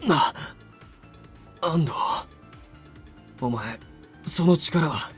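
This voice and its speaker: An actor playing a hot-blooded teenage male rival in a visual novel, with a raspy, intense, dramatic delivery. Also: on the high side, slow. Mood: stunned. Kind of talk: Japanese visual novel voice acting. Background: game music.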